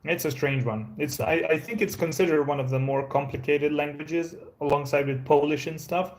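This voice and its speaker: A man's voice: a little high, steady tone, moderate pace. A Croatian man, a native Croatian speaker with an American-sounding English accent, chatting casually with viewers on his live stream in a rambling, laid-back way, with many fillers and restarts. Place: indoors at a home.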